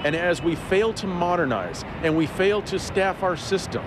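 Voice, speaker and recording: Male interviewee, middle-aged spokesman, higher than average pitch, news soundbites with noticeable traffic behind. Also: lively intonation, moderate pace. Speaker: air traffic controller, union spokesman. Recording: clear vehicle and street noise.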